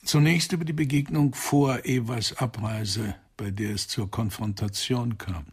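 An actor playing a calm, probing psychoanalysis professor in a radio crime drama, with a rough husky voice and deliberate questions. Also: male voice, mid-range voice. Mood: stern.

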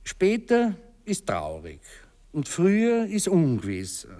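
An actor with a Viennese accent playing a courtly, hesitant aristocratic cavalry officer who stammers politely and philosophises in a 1960s radio play. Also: male voice, high-pitched, huge pitch swings, slow, mellow, heavily acted. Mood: musing, rambling. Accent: Viennese Austrian. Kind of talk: 1960s German-language radio drama acting.

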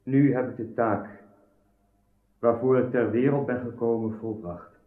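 An actor playing a devout, serene young knight in a solemn, declamatory radio drama. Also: male voice, medium pitch, fairly even intonation, a little slow, close to an everyday voice. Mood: solemn, fulfilled. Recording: tinny, echoey old radio recording.

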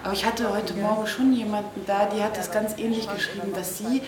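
A woman's voice: medium pitch, steady tone, brisk. A woman in casual conversation. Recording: echoey room, chatter behind.

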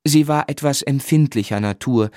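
An actor narrating a radio play as a grown man gently and reflectively recalling his boyhood, in measured literary style. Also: mid-range voice, no room sound, studio quality, natural voice.

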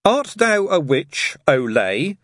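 A man's voice: on the high side, big pitch swings, slow pace, fairly bright tone, dry recording, clean studio sound, voice pushed up, exaggerated voice. A man voicing a firm, self-important boy who leads a gang in an audiobook, speaking pompously. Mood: mock-solemn. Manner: pompous, declaiming.

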